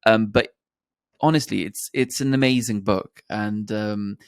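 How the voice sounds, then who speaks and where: A man hosts a podcast interview and introduces the guest's book. His voice is fairly low and the sound is dry. He talks casually and hesitantly, restarting phrases. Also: steady tone, unhurried, clear, resonant tone, no room sound. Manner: hesitant, many fillers and restarts. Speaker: podcast host.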